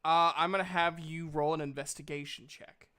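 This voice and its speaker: A man's voice: fairly high pitch, fairly even intonation, normal speed, pushed and bright, clean tone. A man running a tabletop roleplaying game as the game master, talking with the players.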